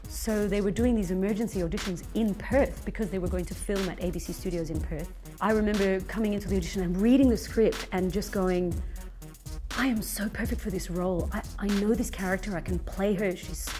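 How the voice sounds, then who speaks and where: A woman in her thirties giving a chatty, animated interview with frequent fillers. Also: mid-range voice. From Philippines-born, raised in Zambia and Australia. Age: 30s. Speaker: interviewee, stage actress. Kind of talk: conversational interview.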